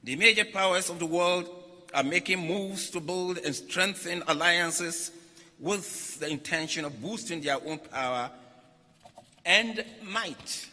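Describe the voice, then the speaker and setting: A man reads a formal speech aloud as a religious leader, in deliberate, emphatic phrases with short pauses. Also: high voice, bright, forceful tone. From Ghana. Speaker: religious leader reading a message at a conference. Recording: echoey hall with long reverb.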